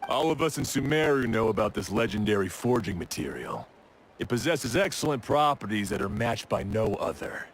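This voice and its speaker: An actor playing a proud, exacting blacksmith in a video game, speaking in a measured, wry, matter-of-fact way. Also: male voice, lowish voice, very animated, slight background noise, poor audio. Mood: earnest, reflective. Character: proud, exacting male blacksmith, gruff but obliging craftsman. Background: game music.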